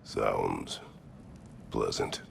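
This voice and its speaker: Extremely deep, gravelly stoic warrior god. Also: male voice, fairly even intonation, extremely slow, slight background noise, full character voice. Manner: terse, formal, restrained. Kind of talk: video game dialogue.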